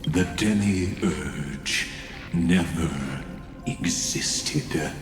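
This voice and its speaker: A man playing a menacing godlike mastermind villain in a video game, coldly courteous and mocking, with grandiose, deliberate delivery. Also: rumbling low, exaggerated voice. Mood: cold, superior. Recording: long hall reverb over music.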